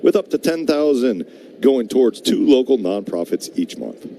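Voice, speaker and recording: A man's voice: medium pitch, big pitch swings, moderate pace, warm tone, a little hiss or hum. An American man working as a radio baseball analyst, commenting conversationally and reading sponsor spots. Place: stadium broadcast booth.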